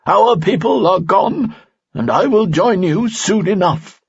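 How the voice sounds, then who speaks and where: A stern, wise old hermit, as a male narrator puts him on, speaking very slowly and gravely in a raised, husky voice. The sound is dull and lo-fi. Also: sits high, no echo, voice pushed up, full character voice. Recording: dull lo-fi recording.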